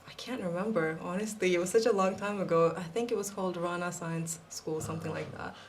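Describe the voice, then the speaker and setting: A woman's voice: fairly low pitch, a little fast, slight background noise. A woman in her late twenties from Pakistan with a slight mixed Pakistani and American accent, a podcast guest chatting casually and laughing often. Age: late 20s. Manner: relaxed, laughs often, rambling. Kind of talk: casual interview chat.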